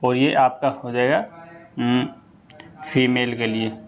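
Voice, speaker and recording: A man's voice: medium pitch, fairly even intonation, a little slow. A man presenting exam-prep information to students in an informal, instructive way, often repeating himself and checking that they follow. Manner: repetitive, instructive, frequent check-in tags. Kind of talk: informal explainer addressed to students. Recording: dull, lo-fi voice recording.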